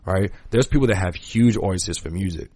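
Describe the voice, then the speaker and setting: A man with a low voice talks casually as a podcast host in a dry-sounding space. He is earnest and opinionated, leaning on slang and repeating his points for emphasis. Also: normal speed, darkish tone, no echo. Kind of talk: casual conversational podcast talk.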